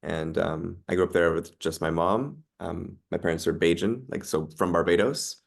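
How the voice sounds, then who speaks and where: Man, 30s, very deep and gravelly, laid-back podcast guest. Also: normal speed. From Canada. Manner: relaxed, many ums and likes. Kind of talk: casual interview chat.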